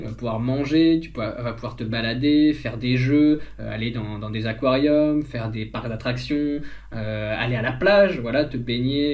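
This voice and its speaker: A man's voice: medium pitch, a little mellow. Hesitant French vlogger, man from the north. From northern France. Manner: hesitant, many fillers and restarts. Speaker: vlogger answering viewer questions. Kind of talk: casual chat.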